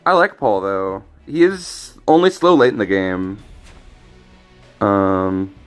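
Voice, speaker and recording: A man casually commenting on his card game as he plays, thinking aloud with frequent restarts, his voice a little raspy today. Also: on the low side, sing-song, slow pace, faint music behind. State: slightly raspy voice. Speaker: streamer.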